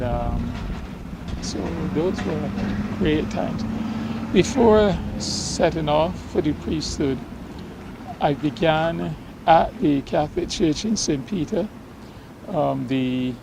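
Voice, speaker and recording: A Barbadian priest in his sixties, a man who speaks slowly and reflectively, often pausing to gather his thoughts. His voice sits a little high and has a gravelly fry to it. Traffic noise from the street outside comes through clearly behind him. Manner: slow, reflective, with many ums. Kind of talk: interview answers.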